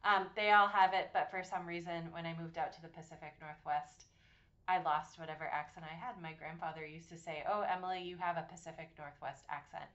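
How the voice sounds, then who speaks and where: A woman in her forties from Massachusetts, co-hosting a podcast and telling her story with earnest, warm detail and frequent ums. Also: deep voice, fairly even intonation, fairly quick, dull audio. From Massachusetts, USA. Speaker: podcast co-host, university program director. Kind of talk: casual podcast chat.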